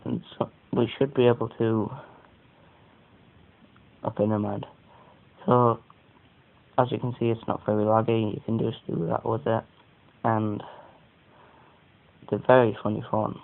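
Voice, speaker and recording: A man with a croaky voice giving a casual, rambling, off-the-cuff product review as an amateur. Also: flat delivery, a little slow, pauses often. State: croaky voice today. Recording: muffled phone-like sound, faint rumbling noise.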